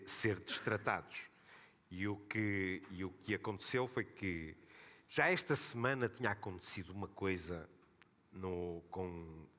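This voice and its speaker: Emphatic bright-voiced man with a Porto accent. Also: low-pitched, big-room sound. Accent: Porto Portuguese. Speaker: panelist. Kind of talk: panel discussion at a club event.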